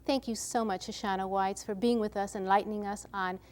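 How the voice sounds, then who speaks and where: A woman's voice: medium pitch, steady tone, fairly quick, darkish tone. A woman from Chicago hosting a television interview, attentive and often murmuring agreement. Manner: attentive, frequent affirmations. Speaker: interview host. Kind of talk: interview conversation.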